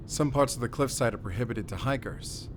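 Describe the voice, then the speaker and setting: A man playing a battle-worn, calm and wry werewolf hunter in an audio roleplay, speaking deliberately in short, paused phrases with quiet tenderness. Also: mid-range voice, background noise, natural voice. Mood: grave, resolute. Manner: measured, deliberate, pausing between short phrases. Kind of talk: cinematic audio roleplay acting.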